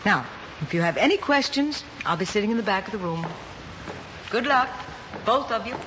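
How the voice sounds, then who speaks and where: Formal, composed woman teacher actress, measured delivery, vintage film drama, muffled with faint noise. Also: mid-range voice, animated, fairly bright tone, close to an everyday voice. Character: composed, solicitous female schoolteacher. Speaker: teacher, examiner. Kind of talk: mid-century American screen drama acting.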